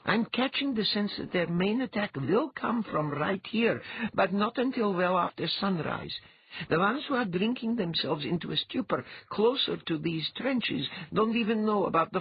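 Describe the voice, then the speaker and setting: A man voicing an intense, shrewd young woman seer in an audiobook, with an earnest manner and husky voice. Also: high voice, highs cut off, voice pushed up, full character voice.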